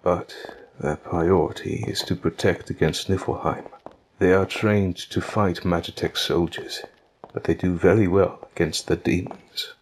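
A man playing a patient, gentle older king in an audio drama, with deliberate, formal diction. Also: low-pitched, fairly even intonation, slight background noise, poor audio. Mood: patient, explaining.

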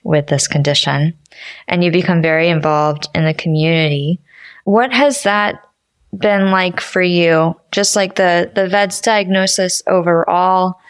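A woman's voice: on the low side, smooth, no echo. A woman hosting a podcast, asking questions in a conversational interview style.

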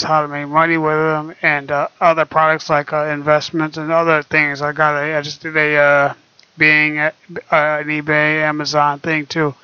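A young man talking quietly and hesitantly with many fillers, recorded on his laptop microphone at home. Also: on the high side, clean tone, no echo. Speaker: vlogger. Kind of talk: casual screen-recorded talk. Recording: dull lo-fi laptop mic.

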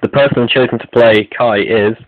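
A man's voice: medium pitch, steady tone, normal speed, dry recording. A man hosting an online video update, reading out announcements in a scripted style. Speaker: video creator and host. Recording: phone-like, narrow lo-fi sound.

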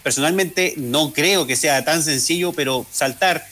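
A man with a Chilean accent, co-hosting a sports talk panel and giving halting, analytical takes. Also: on the high side, pushed and bright, faint music behind. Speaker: sports commentator co-host.

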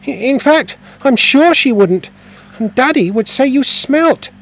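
A polite little boy in a storybook, put on by a male reader, his voice high and bouncing all over. He stammers and hesitates but talks in earnest. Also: animated, a little slow, heavily acted. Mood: doubtful. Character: polite, curious young boy.

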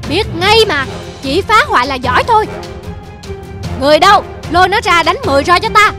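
A stingy, domineering mistress of a house in a cartoon folk tale. Her voice is high and scolding, ordering people about. Music plays behind her. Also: lively intonation, a little fast. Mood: furious. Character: stout, bossy, stingy middle-aged mistress of the house.

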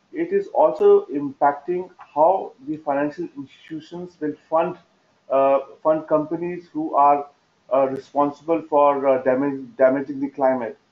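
A man giving an explanatory business presentation in a halting way, often repeating words. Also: fairly high pitch. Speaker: webinar presenter on finance. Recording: dull, roomy webinar audio.

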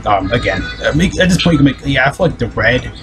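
A man with a deep voice giving casual commentary on a mobile game, rambling quickly with many fillers and restarts. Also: sing-song, music in the background. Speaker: gaming video host.

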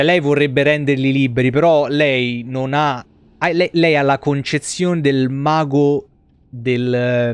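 A man's voice: mid-range voice, clean tone, no room sound. A man in his thirties with a Roman Italian accent gives casual, rambling, foul-mouthed live gameplay commentary. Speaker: game streamer. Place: small indoor streaming room.

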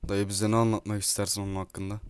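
A man giving excitable, slangy, foul-mouthed gameplay commentary as he chats in a roleplay game. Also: lowish voice, fast talker. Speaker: video host playing a roleplay game. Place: indoors at a computer, voice over gameplay. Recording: clear background noise, keyboard clicking.

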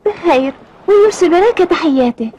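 A woman's voice: extremely high pitch, expressive, normal speed, dark tone, muffled recording, a little hiss or hum. A woman voicing an earnest, eager young boy in a cartoon, speaking courteously and formally. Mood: polite, earnest. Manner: courteous, formal, clearly enunciated. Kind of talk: Arabic cartoon dubbing.

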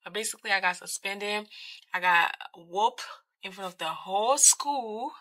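A woman's voice: mid-range voice, expressive, moderate pace, pushed and bright, no echo, low-quality recording. A young woman from Liberia chatting casually in slangy, emphatic speech, telling a story like a vlogger. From Liberia, West Africa. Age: young adult. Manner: informal, slangy, emphatic repetition. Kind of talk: casual chatty storytime while doing makeup. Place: small furnished bedroom, indoors.

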